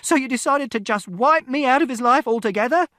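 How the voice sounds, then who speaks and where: Man doing a protective mum, high and shrill, dry read. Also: moderate pace, no room sound. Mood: angry shouting. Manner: shrill shouting, soothing when calm. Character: upset protective mother.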